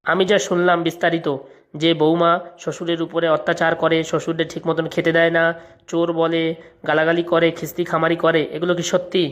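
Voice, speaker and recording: A woman's voice: low-pitched, completely flat delivery, fast talker, highs cut off, lightly acted. A woman playing a self-important, corrupt older male village headman in a comic cartoon, with a rural Bengali accent. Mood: pompous.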